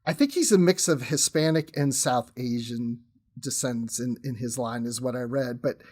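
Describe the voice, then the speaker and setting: A man's voice: mid-range voice, normal speed, darkish tone, breathy edge. A man chatting as a guest in a casual fan podcast discussion, conversational with tentative asides.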